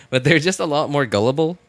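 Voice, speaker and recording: A man's voice: fairly high pitch, lively intonation, moderate pace. A man talking casually to live stream viewers while he plays, rambling and thinking aloud as he repeats himself. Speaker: live streamer, gaming content creator.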